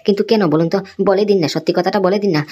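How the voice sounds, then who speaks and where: Indignant, rapid-fire loyal employee acted by a woman. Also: mid-range voice, fairly even intonation, warm tone, dry recording, highs cut off, natural voice. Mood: exasperated. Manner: insistent, confrontational, repeated tag questions. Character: indignant, fiercely loyal employee defending the boss. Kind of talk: amateur cartoon dialogue.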